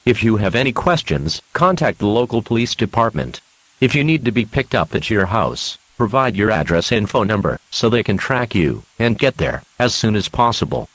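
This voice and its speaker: A man playing an earnest radio news announcer, reading a scripted emergency alert in a formal, measured manner. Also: fairly low pitch, sing-song, fairly bright tone, dry recording. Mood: grave, formal.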